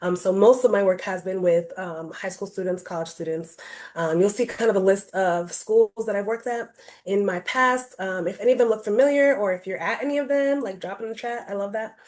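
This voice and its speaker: A woman's voice: mid-range voice, a little fast, darkish tone. Chatty woman presenting over a call. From Rhode Island, US. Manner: chatty, many fillers like um and like. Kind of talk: casual online presentation. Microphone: video call.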